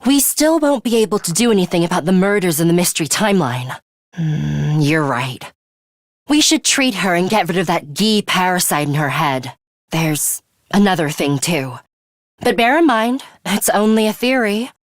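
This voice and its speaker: A woman playing a serious, composed young female fighter in a video game, dryly scolding and sharp-tongued but caring. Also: lowish voice, expressive, dry recording, studio quality, close to an everyday voice. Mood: thoughtful, serious. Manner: measured, stern, dryly scolding.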